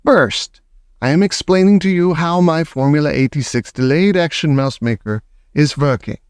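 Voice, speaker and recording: A man voicing a gloating old female witch leader who shouts in broken grammar. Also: a little high, moderate pace, smooth, no echo, clean studio sound, pitched above the performer's own voice, natural voice.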